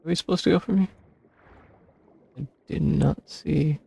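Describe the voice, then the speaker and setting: A man doing casual, offhand gameplay commentary, muttering to himself in a raspy, creaky voice. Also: fairly high pitch, steady tone, normal speed, dark tone. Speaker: game streamer.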